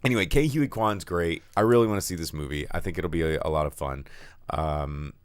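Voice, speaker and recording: Podcast co-host, man, hoarse and deep, loose chatty delivery, dry close sound. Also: a little fast, no room sound. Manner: rambling, filler-heavy, animated asides. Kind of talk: casual movie news chat.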